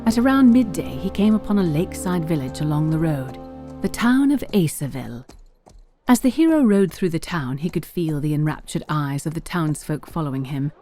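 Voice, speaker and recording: A woman's voice: low-pitched, animated, normal speed, warm tone, soft background music. A woman narrator reading scripted story narration for a fantasy audio drama.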